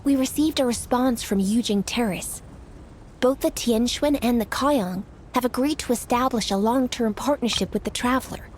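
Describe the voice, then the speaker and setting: Female garrison officer for a game, actress: fairly high voice, composed, formal, encouraging, faint noise behind. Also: normal speed, lightly acted, poor audio. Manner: formal, articulate. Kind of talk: video game dialogue acting.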